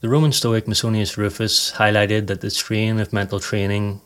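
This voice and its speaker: A man narrating a scripted guided meditation in a calm, measured, soothing way. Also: lowish voice.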